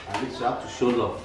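A middle-aged man talking earnestly and a little preachy in a casual home vlog. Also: mid-range voice. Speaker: vlogger's husband. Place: large tiled living room, indoors. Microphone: far camera. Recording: echoey room from far off, loud noise.

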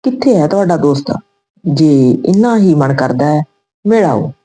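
A woman's voice: low-pitched, animated, unhurried, warm tone. A woman voicing a caring, respectful younger soldier nephew in a told story. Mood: concerned. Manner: respectful, encouraging.

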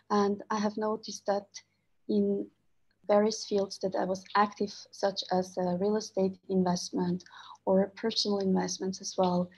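A woman from Switzerland speaking on a video call as a panelist, earnest and measured, with frequent fillers. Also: medium pitch, breathy edge, low-quality recording. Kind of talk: panel discussion remarks.

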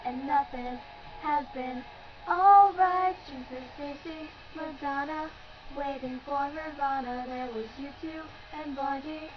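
A woman's voice: high voice, takes it slowly. A young woman singing along to pop-rock in an amateur, playful way at home. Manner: playful, giggly. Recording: dull lo-fi home recording with loud music. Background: pop-rock song playing.

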